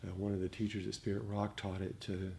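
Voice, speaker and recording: A man giving a dharma talk as a meditation teacher, speaking reflectively and gently, with frequent pauses. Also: fairly low pitch, level tone, creaky. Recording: noticeable background noise.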